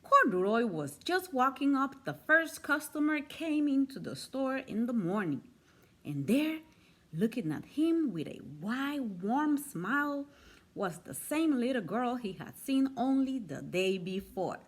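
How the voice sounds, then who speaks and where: A woman reads a children's story aloud with a fairly high, very lively voice. Her delivery is slow and deliberate, expressively shaping each phrase of the scripted narration. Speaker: storybook reader.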